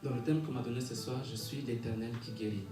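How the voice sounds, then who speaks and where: A man's voice: mid-range voice, fairly even intonation. Man preaching in an echoey room over music. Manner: halting when reading aloud, repeats and rephrases phrases; rhythmic repetition, calls for amens. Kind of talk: sermon with scripture reading. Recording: echoey room, distant mic, music behind.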